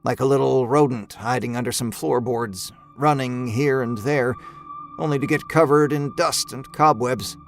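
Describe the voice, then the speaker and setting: A man narrating a first-person horror story in a slow, brooding, measured way. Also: mid-range voice, gravelly, soft background music.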